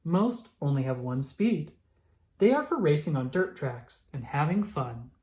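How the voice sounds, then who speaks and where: A narrator reading a children's picture book aloud in a clearly enunciated, instructional storytelling manner. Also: mid-range voice, very animated, measured pace, a little mellow.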